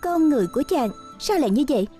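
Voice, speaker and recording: A woman's voice: high voice, lively intonation, quick pace, mellow, soft background music. A woman dubbing a pensive, playful young heroine in an ancient costume drama, musing aloud expressively. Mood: pouting, reproachful.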